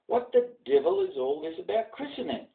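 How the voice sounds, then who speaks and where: A man's voice: extremely high pitch, sing-song, a little fast, gravelly, pitched above the performer's own voice, exaggerated voice. A man voicing an artful, defiant ten-year-old bush boy in a theatrical poem reading. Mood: frightened. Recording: dull lo-fi sound, distant mic.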